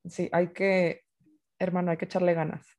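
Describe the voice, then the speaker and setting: A woman's voice: on the low side, level tone, normal speed, a little mellow. A young woman, co-hosting a podcast in casual chat with playful, wry asides. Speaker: podcast co-host. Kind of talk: casual chat about racing.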